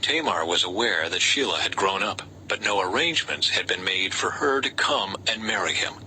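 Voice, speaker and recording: A man reads a Bible story as scripted narration, in a measured storytelling way with lightly dramatized dialogue. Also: low-pitched, expressive, pushed and bright, rough recording. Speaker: audiobook-style scripture reader. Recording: faint background noise.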